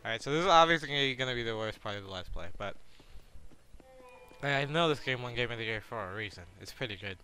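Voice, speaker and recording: A man's voice: mid-range voice, lively intonation, quick pace, sharp tone, clean tone, soft background music. A man giving casual, joking commentary while playing a video game, rambling and self-deprecating. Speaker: let's play gamer.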